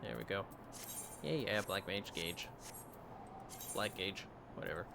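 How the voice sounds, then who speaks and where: A man's voice: low-pitched, lively intonation, measured pace, creaky, background noise. A man livestreaming a video game with casual chat, muttering to himself as he plays. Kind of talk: casual gameplay chat mixed with reading dialogue aloud.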